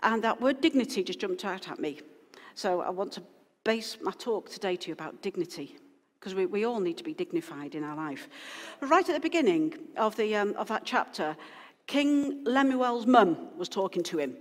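Lady pastor chatting thru a sermon, mid pitch, lots of asides, big echoey hall. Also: very animated, moderate pace. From Wales. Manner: chatty, rambling, with frequent restarts and asides. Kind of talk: informal sermon to a congregation. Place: church hall. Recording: long hall reverb.

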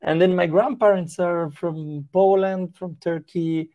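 A man's voice: sits high, fairly even intonation, measured pace, highs cut off. A young man from Chile with a Chilean Spanish accent, a Spanish native speaker, talking as a musician guest in a casual interview, hesitant and full of restarts. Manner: hesitant, with many restarts and fillers.

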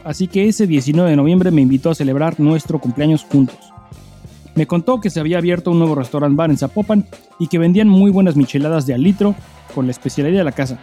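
Mellow-voiced Mexican man co-hosting a podcast. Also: fairly high pitch. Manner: swears freely, slangy. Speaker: podcast co-host. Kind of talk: casual chat and listener-mail reading.

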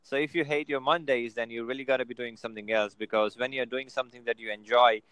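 A man's voice: mid-range voice, steady tone, fast, a bit bright, smooth, dry recording, poor audio. A man giving casual motivational advice on a video call, filling his talk with "you know" and "right?". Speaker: guest.

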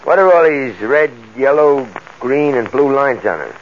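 Eager small-time new crook, slangy working stiff, old radio crime show, dull sound w/ some hiss. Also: male voice, medium pitch, animated, a little slow. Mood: curious, eager. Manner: colloquial, tough-guy slangy. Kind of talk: 1940s radio crime drama acting.

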